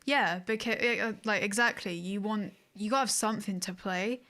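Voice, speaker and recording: A woman's voice: mid-range voice, moderate pace, sharp tone. A woman co-hosting a podcast in a casual, conversational style, informal and agreeable. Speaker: podcast co-host.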